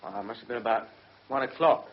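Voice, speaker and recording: An actor playing a surly, defiant career criminal on trial in a radio drama, speaking bluntly with a husky voice and a working-class English accent. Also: male voice, mid-range voice, quick pace, natural voice. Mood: guarded, insistent. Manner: blunt, colloquial. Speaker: defendant in a courtroom drama. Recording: muffled, dull radio drama recording.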